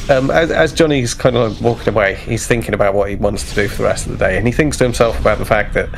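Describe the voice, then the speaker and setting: A man's voice: on the low side, quick pace, gravelly, slight background noise. A man playing a tabletop roleplaying game, casually improvising his character's actions and searching for words.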